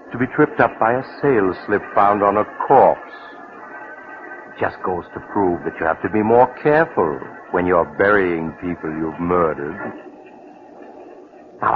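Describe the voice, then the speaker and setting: Prominent music sits behind a macabre, genial storyteller on a narrow old radio broadcast. His low male voice confides in the listener with sardonic relish, in 1940s horror-host style. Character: sinister, wryly genial mysterious traveler, macabre storyteller.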